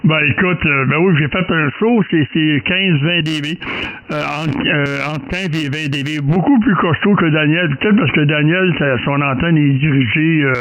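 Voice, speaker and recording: A man's voice: on the high side, normal speed, fairly bright tone, muffled recording. A man chatting on amateur radio, hesitant and joking, with many restarts. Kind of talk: casual radio chat.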